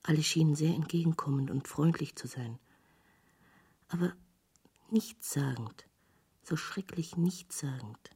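A disillusioned woman traveller in a literary radio drama. Her voice is low and dark, with a strongly husky, breathy grain. She speaks in a measured, dryly reflective manner. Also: exaggerated voice. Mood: weary, reflective. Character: disillusioned female visitor to hell, wistfully reflective narrator.

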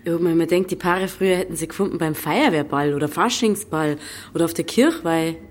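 A woman's voice: lowish voice, a little fast, natural voice. An actress playing a defiant, curious young village woman in a radio drama, with a Bavarian accent and a sceptical, wry way of questioning. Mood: wry, intrigued.

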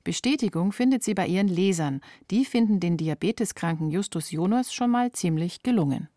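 A woman's voice: fairly low pitch, expressive, a little fast, dark tone, dry recording, clean studio sound. A woman reporter reading scripted narration for a radio feature.

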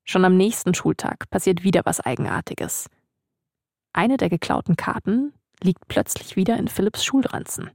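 A woman's voice: lowish voice, animated, moderate pace, creaky, darkish tone, no echo, studio quality. A woman hosting and narrating a scripted podcast story. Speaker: narrator, host.

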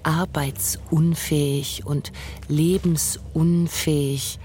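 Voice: mid-range voice, darkish tone, a little hiss or hum. A narrator reading scripted literary prose for a radio drama.

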